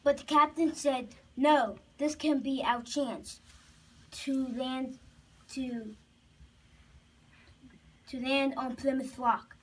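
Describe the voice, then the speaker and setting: A child with a high voice tells a story for a puppet show. The delivery is slow and halting, with words repeated. The home recording is distant with faint noise. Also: steady tone. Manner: halting, repeats and restarts phrases. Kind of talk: live storytelling narration of a homemade puppet show.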